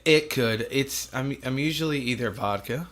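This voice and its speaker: A man's voice: medium pitch, normal speed, a bit bright. A man in his forties hosting a podcast, chatting casually with a profane, self-deprecating humor. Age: 40s. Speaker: podcast host. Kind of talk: casual chat.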